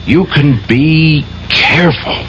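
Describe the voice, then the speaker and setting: An actor playing a troubled, insistent defense lawyer in a 1970s radio drama, measured, earnest and pressing. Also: male voice, on the high side, fairly bright tone. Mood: urgent, pleading. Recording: dull, faintly noisy 1970s radio recording.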